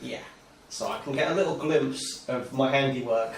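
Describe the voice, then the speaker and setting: A man's voice: mid-range voice, moderate pace. A man playing a tabletop game, chatting playfully with a mischievous, chuckling manner. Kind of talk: casual playful game chat. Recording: distant, echoey room with clear noise.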